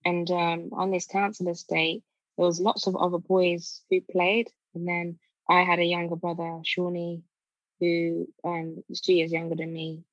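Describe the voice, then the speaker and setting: Low, even-toned woman telling her story. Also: measured pace, no room sound, muffled recording. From born in Nigeria, raised in Birmingham. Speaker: guest, former footballer. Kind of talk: podcast interview.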